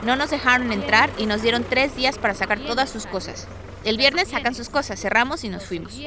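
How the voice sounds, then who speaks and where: A woman's voice: a little high, brisk, a crowd in the background. A woman giving conversational first-hand testimony as a resident recounting events.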